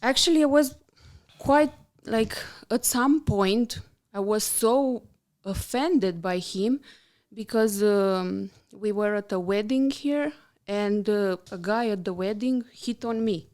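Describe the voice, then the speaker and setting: A woman's voice: medium pitch, slow, darkish tone. A young woman with a Romanian accent, a guest architect chatting casually on a podcast, with many fillers and restarts.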